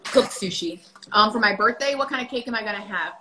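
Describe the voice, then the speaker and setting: A woman's voice: mid-range voice, fast talker, pushed and bright, roomy sound, a little hiss or hum. A woman in her thirties chatting casually on a livestream at home, answering viewer questions with lots of fillers. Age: 30s. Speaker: vlogger. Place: home kitchen. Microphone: phone camera at table.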